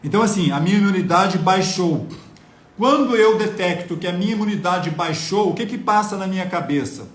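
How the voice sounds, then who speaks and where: A man's voice: high voice, a little fast, echoey room. A man giving a live-streamed health talk, lecturing viewers earnestly and asking them rhetorical questions. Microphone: phone on mobile data.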